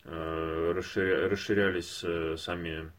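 Deep-voiced man, halting analytical talk. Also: level tone. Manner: halting, measured, intellectual phrasing. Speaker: podcast co-host or panelist. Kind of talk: unscripted analytical discussion.

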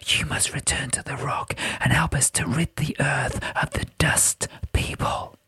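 An ancient female spirit of stone, speaks in an eerie, breathy whisper with almost no voiced tone. She is slow, solemn and disdainful, drawing out each phrase over a bed of music. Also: medium pitch, fairly even intonation, pushed and bright, no room sound, full character voice. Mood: commanding. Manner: slow, solemn, drawn-out delivery.

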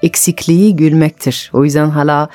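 A woman's voice: deep voice, fairly even intonation, brisk, dark tone, faint music behind. A woman from France with a French accent, a native French speaker, hosting a conversational radio interview with questions. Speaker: radio host, storyteller.

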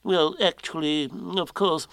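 Storybook owl, voiced by a male reader: self-important, slightly anxious, very slow and halting, pitched well up. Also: fairly even intonation, a bit bright, clear, resonant tone, no echo, rough recording. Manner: deliberate, halting, pompous. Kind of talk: children's storybook character voice.

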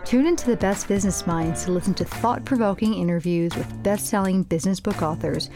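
A woman's voice: medium pitch, brisk. A woman reading a polished scripted show introduction as announcer. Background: music.

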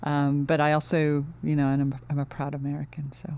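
A middle-aged woman from Finland, a guest in an interview, speaking earnestly and thoughtfully with many pauses and restarts. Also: deep voice, completely flat delivery, mellow. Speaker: guest, architecture dean. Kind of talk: casual interview talk.

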